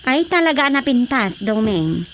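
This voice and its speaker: A dutiful, earnest young village daughter with an Ilocano accent for radio drama. Her voice is very high in pitch. Faint noise runs behind it. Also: very animated, a little slow, poor audio.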